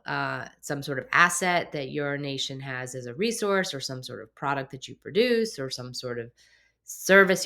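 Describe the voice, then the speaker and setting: A woman hosting an explainer show, talking in a conversational, hedging way, a little hoarse and raspy from a cold. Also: low-pitched, sharp tone. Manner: conversational, hedging with filler phrases. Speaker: host. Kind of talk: explainer talk to camera. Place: home office.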